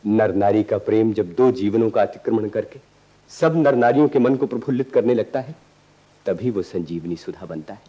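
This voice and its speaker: Actor as low, bright-voiced idealistic young lover. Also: male voice, moderate pace, lightly acted. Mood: idealistic, pleading. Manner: lofty, declamatory, literary diction. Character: earnest idealistic young lover, poetic former revolutionary. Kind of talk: radio play acting. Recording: dull archival radio recording.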